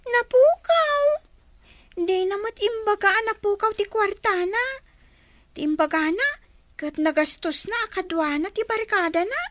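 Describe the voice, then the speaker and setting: A woman's voice: extremely high pitch, expressive, unhurried, fairly bright tone, no room sound, full character voice. A woman acting as an earnest, defensive young schoolgirl in a radio drama.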